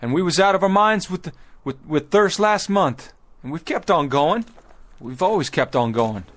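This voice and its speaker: A man's voice: fairly high pitch, lively intonation, normal speed, fairly bright tone. An actor playing a desperate pioneer wagon leader who pleads with his people in an urgent, stammering way.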